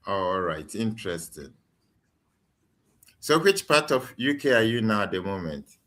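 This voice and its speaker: A man's voice: lowish voice, big pitch swings, unhurried, sharp tone. A man hosting a casual interview, asking questions in a conversational way. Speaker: interviewer, host.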